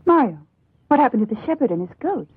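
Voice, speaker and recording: Young lady in old dubbed horror movie, husky, bouncy intonation, teasing, crappy tinny audio. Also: a little high, sing-song, fast, no echo, full character voice. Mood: curious. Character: young woman traveller, companionable, quick-retorting, steady. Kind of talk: dubbed vintage horror film acting. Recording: muffled tinny old film soundtrack.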